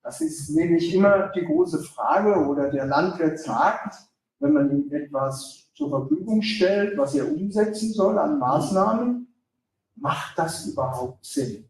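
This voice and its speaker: A man speaking German at a lecture, pausing in short chunks so an interpreter can translate. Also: on the high side, very animated. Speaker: guest speaker, sales director. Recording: echoey hall, voice from far off.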